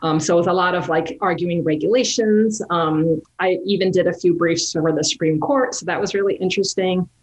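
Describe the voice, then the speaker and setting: A woman with a somewhat low voice talks as a guest in a relaxed interview. She speaks thoughtfully and pauses on plenty of fillers. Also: low-quality recording. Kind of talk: casual interview conversation.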